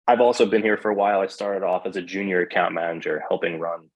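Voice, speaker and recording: A man's voice: sits low, fast, dull audio. A man leading a sales team, introducing himself casually on a webinar. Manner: relaxed, casual American phrasing. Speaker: sales team lead, panelist. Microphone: video call.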